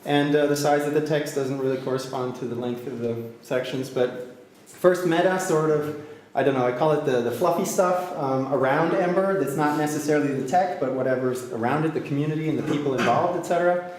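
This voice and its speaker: A man gives a meetup tech talk in English, his pitch a bit higher than most men's. He speaks haltingly, with many fillers and restarts, and slips in self-deprecating jokes. The sound is very echoey with a faint hiss of noise. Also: a little mellow. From Austria. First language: German. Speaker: conference speaker. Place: large echoey hall with a crowd.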